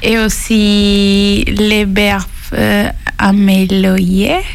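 Calm Colombian student, slow-paced. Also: female voice, medium pitch, gravelly, clear, resonant tone. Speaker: French student, interviewer.

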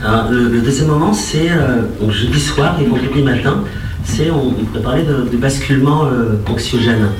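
A man's voice: mid-range voice, normal speed, background noise. A man giving a spoken testimony of his memories, hesitant and often repeating words. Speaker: activist witness at a colloquium. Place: roomy indoor colloquium space. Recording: echoey room, distant mic, music behind.

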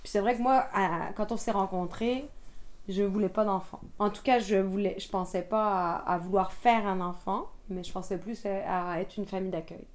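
A woman in her thirties from France, a teacher, answering interview questions in a soft, hesitant way with many fillers. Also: medium pitch, fairly even intonation, moderate pace. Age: 30s. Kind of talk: casual interview chat.

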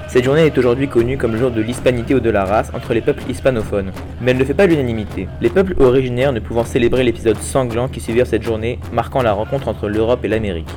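A teenage boy, a student presenter, reading a script quickly and evenly. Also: mid-range voice.

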